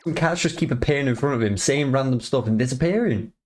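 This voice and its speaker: A man's voice: medium pitch, quick pace, a little mellow. A man streaming a reaction to a fan-dubbed game movie, chatty and wry with laughing asides. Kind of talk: casual reaction commentary.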